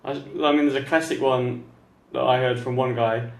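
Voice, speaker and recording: A man hosting a podcast interview, talking loudly and rambling freely. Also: mid-range voice, normal speed. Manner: loud, lively, rambling. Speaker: podcast host. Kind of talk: casual interview chat. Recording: echoey room.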